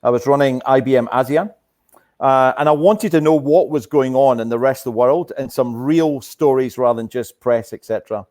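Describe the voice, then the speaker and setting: A businessman dialed in to an online panel, chatting casually in an average-pitched voice as he answers the host. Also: normal speed, a little mellow. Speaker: corporate sponsor guest, oil and gas executive. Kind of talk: casual interview talk.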